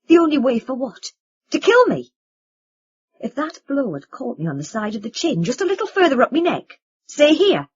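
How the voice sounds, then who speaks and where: A woman reading an audiobook, voicing a bitter young man who lashes out at his family with loud, sarcastic accusations. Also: medium pitch, sing-song, moderate pace, breathy edge, muffled recording. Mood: furious.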